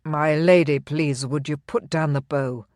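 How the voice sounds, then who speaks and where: A narrator voicing a young English knight who speaks in a steady, measured, reassuring way. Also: medium pitch, dry recording, studio quality, voice pushed down, lightly acted. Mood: pleading. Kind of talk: audiobook character reading.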